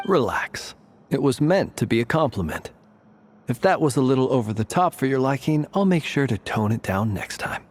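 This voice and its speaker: A man voicing a suave, flattering charmer in a video game, with a breezy, droll and slightly theatrical manner. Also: mid-range voice, moderate pace. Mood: teasing, mock-wounded. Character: suave, playful male charmer, flattering and mock-wounded.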